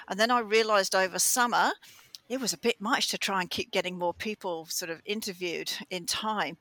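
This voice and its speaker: A woman with a mixed English and Australian accent, a radio show host chatting in an interview, telling her story in an animated way with quick restarts. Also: mid-range voice, no room sound. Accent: mix of English and Australian. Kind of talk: conversational interview answer.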